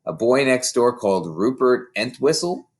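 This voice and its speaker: A man reading a children's story aloud in an expressive way, like an audiobook narrator. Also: medium pitch, normal speed. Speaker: story reader.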